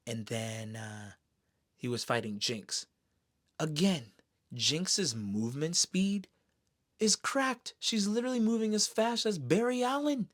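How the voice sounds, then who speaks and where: A man giving casual, excited fan commentary in a slangy, expressive way, throwing in exclamations. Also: a little high, slow. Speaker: streamer reviewing an animated series.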